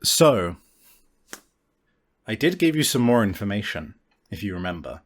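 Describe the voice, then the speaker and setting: Low-voiced man running a tabletop game. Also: very animated. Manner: halting, informal, explanatory. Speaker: game master. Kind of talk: improvised narration of a tabletop roleplaying game.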